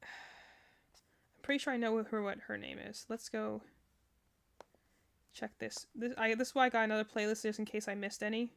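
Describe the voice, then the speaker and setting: A woman with a fairly high voice gives casual streamer commentary. She rambles as she thinks aloud, chatting through her reactions in an informal way. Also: fairly even intonation, moderate pace, gravelly, pauses often, clean tone. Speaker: streamer reacting to game character demos.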